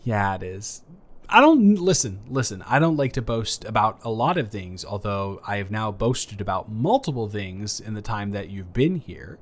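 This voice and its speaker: A man's voice: lowish voice, animated, normal speed. A man improvising a romantic audio roleplay as a flirty, playfully boastful neighbor, talking straight to the listener in a teasing, stammering, rambling way. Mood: flirty, flustered.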